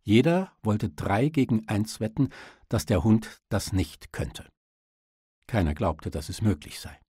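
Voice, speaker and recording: A man's voice: fairly low pitch, very animated, measured pace, mellow, husky, no room sound, studio quality. A man narrating an audiobook, reading scripted literary prose.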